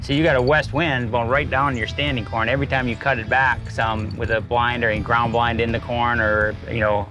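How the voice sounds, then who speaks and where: A man's voice: mid-range voice, level tone, brisk, hardly any pauses. A man talking casually and emphatically about land and hunting, repeating himself as he goes. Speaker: land agent and hunter. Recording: music bed behind the voice.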